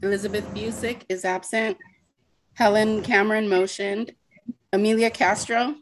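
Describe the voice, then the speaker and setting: A woman's voice: medium pitch, steady tone, moderate pace, low-quality recording. A woman works through a roll-call vote, calling each name in a businesslike way. She sounds set back from the mic, with faint noise on the line. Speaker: meeting clerk taking a vote.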